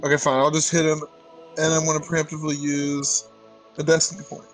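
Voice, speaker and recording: A man's voice: a little high, completely flat delivery, a little fast, faint music behind, rough recording. A man chatting casually over a voice call as a player in a tabletop game. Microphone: online voice call.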